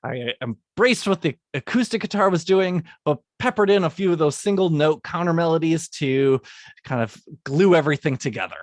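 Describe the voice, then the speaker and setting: A man's voice: fairly high pitch, expressive, moderate pace, no echo, studio quality. A man, a music composer, explaining how he built his theme piece, partly reading and correcting himself as he goes. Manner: restarts and corrects his script lines.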